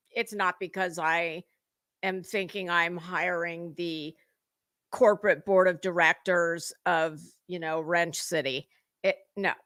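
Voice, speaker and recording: A woman with a bright, forward voice chats at an unhurried pace as a livestream host. She speaks informally and wanders from one remark to the next. The sound is dry and close, with little room around it. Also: lowish voice, dry recording. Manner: chatty, rambling, informal. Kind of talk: casual livestream chat, greeting viewers.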